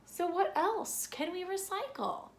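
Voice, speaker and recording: A woman's voice: extremely high pitch, big pitch swings, measured pace, voice pushed up, exaggerated voice. A woman voicing a patient male schoolteacher in a children's storybook, explaining things and prompting with questions. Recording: roomy echo with background noise.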